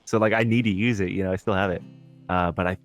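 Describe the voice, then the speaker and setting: A man's voice: low-pitched, steady tone, fast, soft background music. A man co-hosting a podcast, talking in a casual, conversational way. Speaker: podcast co-host. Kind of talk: casual chat.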